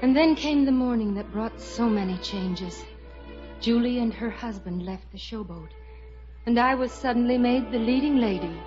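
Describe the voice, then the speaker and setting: An actress playing a romantic young showboat leading lady in a 1950s radio musical, measured and wistful. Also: a little high, lightly acted. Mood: wistful, dreamy. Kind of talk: 1950s radio musical drama narration and acting. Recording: muffled old radio broadcast with music.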